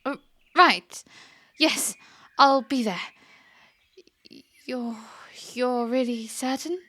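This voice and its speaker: A woman's voice: high voice, extremely slow, a bit bright, exaggerated voice. A woman playing a spirited, defiant young princess in a fantasy audio drama, easily flustered, with an indignant, hesitant delivery. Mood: flustered, hopeful.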